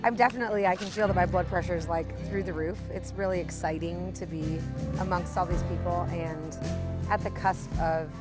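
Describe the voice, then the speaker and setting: A woman's voice: fairly low pitch, steady tone, fairly quick. A woman chef talking reflectively in a documentary interview, earnest and open, with frequent fillers. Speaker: chef and motorcycle rider. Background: music.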